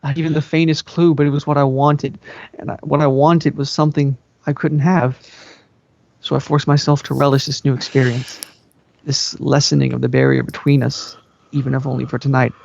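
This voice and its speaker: A man's voice: medium pitch, flat delivery, fairly quick, vocal fry, a little mellow, no room sound, dull audio. A man giving an amateur dramatic reading of fan fiction as a story narrator. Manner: breaks into laughter at the text.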